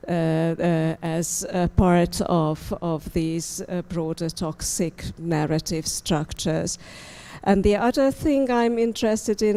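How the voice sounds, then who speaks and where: A woman literary critic speaking on a panel, measured and hesitant, with fillers and repeated words. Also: fairly low pitch, warm tone. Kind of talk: panel discussion.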